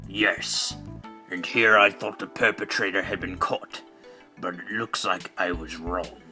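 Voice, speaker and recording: A man's voice: low voice, fairly even intonation, unhurried, bright, forceful tone, full character voice. A man playing a pompous, secretive older space center director in a video game fandub, speaking in a theatrical, declamatory way. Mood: resigned. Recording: amateur recording with music.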